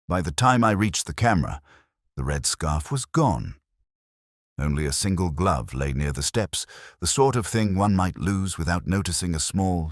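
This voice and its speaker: A man playing a melancholy, pedantic police inspector, narrating a mystery in a measured, literary and brooding way. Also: a bass voice, no echo, clean studio sound, heavily acted. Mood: brooding, uneasy. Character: melancholy, pedantic male police inspector recounting an old case. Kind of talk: scripted first-person mystery narration.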